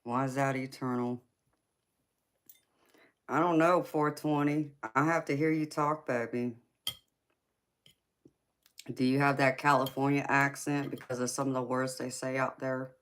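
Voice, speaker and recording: A woman's voice: very deep voice, fairly even intonation, unhurried, long pauses. A woman with a Southern US accent, hosting a casual livestream and chatting with viewers, laughing often and calling them baby. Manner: laughs often, answers chat by name, calls viewers "baby". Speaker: tarot reader and livestream host. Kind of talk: casual livestream chat reading viewer comments.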